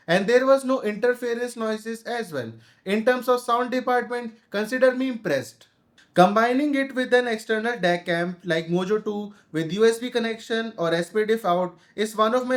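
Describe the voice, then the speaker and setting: A man with a noticeably high voice and an Indian accent presents a gear review. He reads from prepared narration as a reviewer, going through the points in order.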